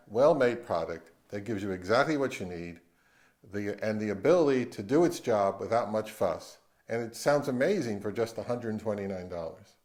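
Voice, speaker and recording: A man's voice: lowish voice, darkish tone. A man narrating a product review as the host of his own audio channel.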